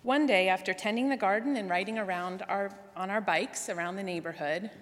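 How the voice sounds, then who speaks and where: A woman's voice: mid-range voice, a little fast. A woman delivering a prepared tribute speech to a congregation. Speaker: guest speaker at church. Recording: echoey hall with long reverb.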